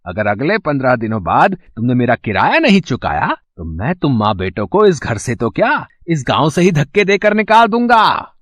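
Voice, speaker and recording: A cruel village landlord acted by a man in a moral story, scornful and menacing. The voice sits fairly high, swings widely in pitch, and is recorded very dry. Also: fairly bright tone, no room sound. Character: cruel, taunting village moneylender landlord threatening eviction. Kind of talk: dramatised Hindi moral story.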